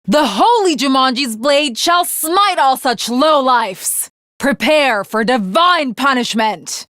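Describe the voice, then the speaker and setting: Bloodthirsty, honor-bound young samurai girl, anime game. Archaic formal speech, unhurried delivery, very dry close recording. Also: high-pitched, lively intonation, fairly bright tone, no echo, heavily acted. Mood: stern, righteous. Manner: archaic, formal, theatrical diction. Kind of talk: anime video game voice acting.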